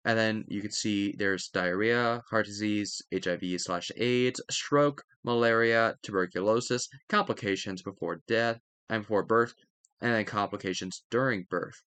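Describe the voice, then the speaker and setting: A man's voice: on the low side, moderate pace, fairly bright tone, dry recording. A man reading educational text aloud in a careful way, now and then stumbling and correcting himself. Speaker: narrator reading a history textbook aloud. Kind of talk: read-aloud educational narration.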